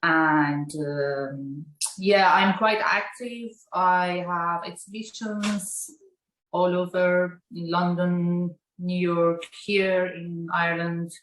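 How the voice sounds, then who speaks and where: A woman's voice: fairly low pitch, fairly even intonation, slow, fairly bright tone. A woman from Iran, a guest artist on a video call, talking casually and hesitantly, with many fillers and non-native phrasing. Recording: echoey room on a video call.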